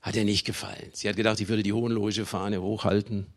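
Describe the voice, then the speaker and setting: A man from Germany preaching a sermon, with emphatic delivery, deliberate pauses and conversational asides to his listeners. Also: on the low side, flat delivery. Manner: emphatic, with dramatic slow phrasing and pauses. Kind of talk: sermon to a congregation.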